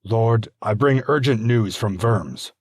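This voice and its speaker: Man doing a timid messenger soldier, hesitant and apologetic, a little higher than the narration, husky. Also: slow pace, darkish tone, no room sound, studio quality. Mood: deferential. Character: nervous, deferential male messenger soldier.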